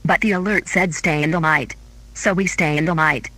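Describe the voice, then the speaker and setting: Tense, high-voiced man playing a trapped schoolboy. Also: steady tone, normal speed, bright, forceful tone, no room sound, poor audio. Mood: tense, insistent.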